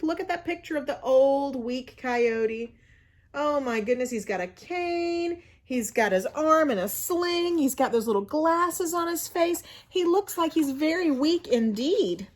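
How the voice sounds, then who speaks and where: A woman reading a storybook aloud to children in an expressive, teacherly way, pausing to explain and ask questions. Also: high voice. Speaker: school media coordinator reading to children.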